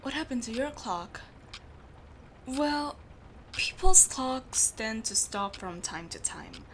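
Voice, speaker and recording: Steampunk clock repairer , played by a woman: female, patient, secretive, slightly high bright voice, faint noise behind, audio roleplay. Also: animated, slow pace. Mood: calm explaining. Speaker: amateur voice actor. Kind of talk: audio roleplay, speaking to the listener.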